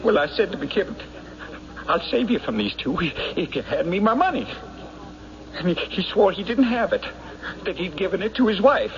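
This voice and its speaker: An actor playing a weaselly small-time crook in an old-time radio drama, pleading, halting and evasive under pressure. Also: male voice, high voice, big pitch swings, fairly bright tone, heavily acted. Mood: nervous confessing. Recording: noisy, muffled vintage radio broadcast.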